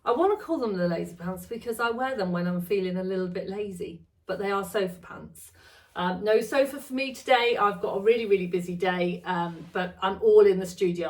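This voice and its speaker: Chatty woman, slightly gravelly. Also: lowish voice, distant mic. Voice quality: chatty, rambling, self-correcting. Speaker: sewing vlogger. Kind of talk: casual chat to camera. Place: home sewing studio.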